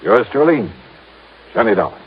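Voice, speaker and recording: A man's voice: fairly low pitch, sing-song, moderate pace. An American man playing a dogged, wry insurance investigator with clipped, punchy delivery in a 1950s radio drama. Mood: brisk, wry. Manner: clipped, insistent, punchy questioning. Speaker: lead actor in a radio detective series. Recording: muffled, noisy vintage radio recording.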